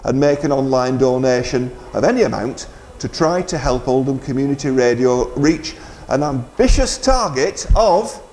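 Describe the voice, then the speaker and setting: A man's voice: medium pitch, animated, moderate pace, hardly any pauses, darkish tone. A man presenting live community radio, making a fundraising announcement in an informal, hesitant, bantering way.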